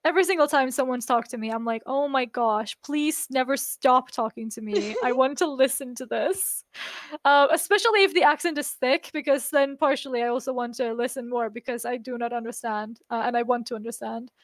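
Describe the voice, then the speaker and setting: A woman's voice: high-pitched, fairly quick, hardly any pauses, no room sound, studio quality. A woman from Scandinavia chatting on a live role-play stream, enthusiastic and gushing, laughing often. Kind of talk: casual chat on a live stream.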